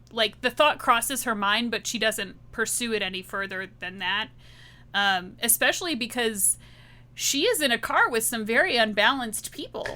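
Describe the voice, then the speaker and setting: A woman's voice: fairly high pitch, pushed and bright. A woman playing a tabletop roleplaying game, explaining her character conversationally, thinking aloud with some hesitation.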